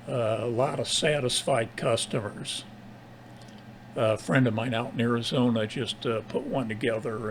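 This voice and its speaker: Gravelly american guy rambling on ham radio, halting, kinda noisy in the background. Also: mid-range voice. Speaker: amateur radio operator. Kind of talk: casual ham radio chat.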